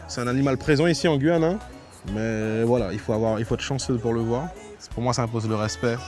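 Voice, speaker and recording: Man from French Guiana, graffiti artist, casual interview, halting delivery, medium pitch, music underneath. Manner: halting, with repeated words and restarts. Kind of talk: casual spoken interview about own work.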